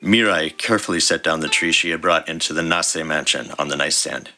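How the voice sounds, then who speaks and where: A man with a low voice narrates scripted fiction for a podcast. Music plays clearly behind his reading. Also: a little fast, sharp tone. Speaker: podcast host and story reader.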